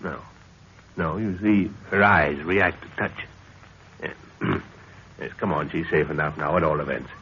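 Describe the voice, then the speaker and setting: An actor playing a middle-aged, authoritative adventurer who talks in a measured, reassuring way in an old radio serial. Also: male voice, very deep voice, creaky, a bit bright, full character voice. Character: calm, authoritative older British adventurer, former secret agent. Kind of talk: 1930s radio adventure acting. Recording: muffled, hissy 1930s radio recording.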